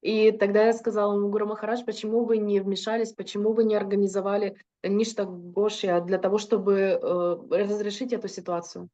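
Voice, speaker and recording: A woman's voice: mid-range voice, level tone, a little fast, few pauses, dark tone, dull audio. A woman interpreting a lecture consecutively, fluent but with frequent filler hesitations.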